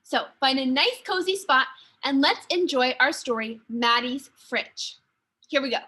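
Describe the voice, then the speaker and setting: A woman teacher reading a children's story aloud with expressive, deliberate narration. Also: sits high, slightly rough.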